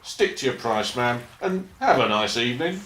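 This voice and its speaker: A deadpan dockside policeman voiced by a man, folksy and matter-of-fact, with a pitch that rises and falls a lot. He sounds recorded in a roomy, echoing space, with faint hiss underneath. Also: moderate pace, natural voice. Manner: deadpan, bargain-minded, folksy. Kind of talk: amateur radio play acting.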